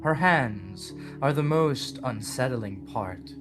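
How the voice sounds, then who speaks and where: A man narrates a dark audio drama at a very slow, deliberate pace. His pitch moves expressively as he paints each scene with dramatic weight. Music plays softly behind his voice. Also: animated. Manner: measured, dramatic storytelling delivery. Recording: background music under the voice.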